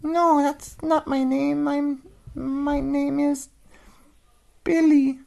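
A man voicing a timid, polite little male mouse in a bedtime story, with a high squeaky voice. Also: little pitch movement, drawn-out words, vocal fry, warm tone, exaggerated voice. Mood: pleading, polite. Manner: polite and eager.

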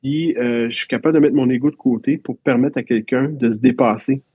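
A man's voice: mid-range voice, steady tone, a little fast, dark tone, breathy edge, dry recording, lo-fi sound. A man with a Quebec French accent, a teacher reflecting on his schooling in a casual interview, telling stories with frequent restarts. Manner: reflective, storytelling with many restarts.

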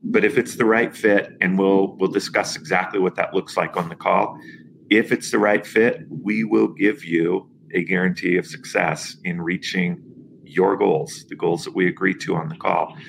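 A man's voice: sits low, fairly even intonation, normal speed, gravelly, a little hiss or hum, low-quality recording. A man in his fifties, a nutrition coach talking as a guest on a podcast interview, emphatic and motivational. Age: 50s.

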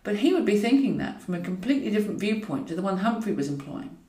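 Female storyteller, wry and animated audiobook read, echoey room sound. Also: medium pitch, quick pace. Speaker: author reading own novel. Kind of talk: scripted audiobook narration of comic fiction. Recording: roomy echo, distant from mic.

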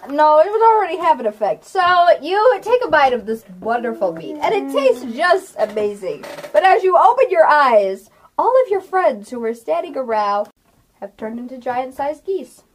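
A woman narrating a tabletop game as game master, casually improvising in an animated, playful storytelling manner. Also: high-pitched, moderate pace, a little hiss or hum.

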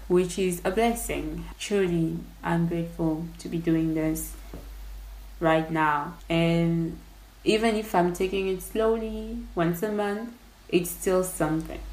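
A young woman from Congo whose English carries a French tinge talks through her podcast plans, thinking aloud. Her voice sits fairly low. The sound is roomy, with a clear echo. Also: a little hiss or hum. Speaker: podcast host. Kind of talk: casual behind-the-scenes monologue.

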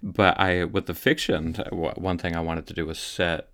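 Deep-voiced male author guest; relaxed, thoughtful, restarts often. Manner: thoughtful, halting, many restarts. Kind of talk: casual podcast conversation.

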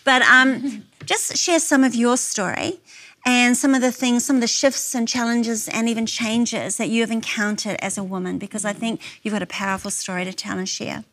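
A woman's voice: fairly high pitch, steady tone, normal speed, a bit bright. A woman hosting a church women's event, talking in an effusive, gushing way with endearments. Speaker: women's conference host. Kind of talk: warm stage interview.

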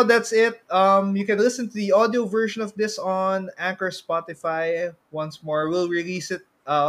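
A man's voice: on the high side, fairly bright tone. A man hosting a podcast in a casual, rambling chat style, often repeating himself. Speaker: podcast host.

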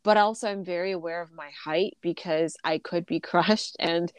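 A woman's voice: fairly low pitch, fairly even intonation, moderate pace, clear, resonant tone, dry recording. A woman co-hosting a casual podcast, talking chattily with many fillers and laughs, a little stuffy from a recent illness. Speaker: podcast co-host. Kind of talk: casual chat.

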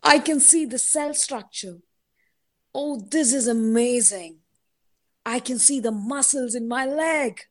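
Awestruck superpowered heroine for radio play, played by a woman: fairly high voice, slow, full of wonder. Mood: amazed. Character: awestruck woman discovering her newfound superhuman powers. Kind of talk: audio drama acting.

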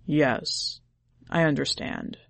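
A woman voicing a grave, gruff but tender male tiger who speaks slowly and formally in a storybook reading. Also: deep voice, steady tone, no echo, pitched below the performer's own voice, heavily acted. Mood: wistful. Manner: slow, formal, solemn speech.

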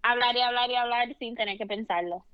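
A woman co-hosting a podcast, lively and switching freely between Spanish and English. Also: on the high side, brisk, muffled recording. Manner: lively, code-switching, frequent backchannels. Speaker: podcast co-host. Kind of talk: casual podcast chat.

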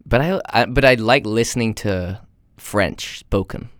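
Brief: easygoing young man, lowish voice, dry close sound. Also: unhurried, fairly bright tone, dry recording. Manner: laid-back, swears casually, laughs often. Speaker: friend in conversation. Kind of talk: casual chat.

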